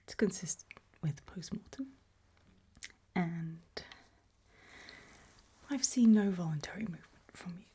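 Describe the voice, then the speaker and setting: A British woman doing an ASMR roleplay as a doctor, whispering gently and breathily, with hesitant pauses. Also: fairly low pitch, lively intonation, breathy edge.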